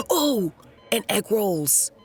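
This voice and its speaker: An actress playing a troubled, sheepish and wry young woman, stammering and halting, in a naturalistic audio drama. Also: mid-range voice, big pitch swings, slightly rough, faint music behind, full character voice. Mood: playful.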